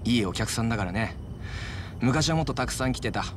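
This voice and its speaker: A man's voice: mid-range voice, lively intonation, a little fast, lightly acted. Glib, sly cab driver acted by a man, noisy surroundings. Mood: chatty, friendly. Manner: casual, glib, informal speech. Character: chatty Japanese-descended taxi driver, a sly small-time robber. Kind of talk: Japanese video game cutscene acting.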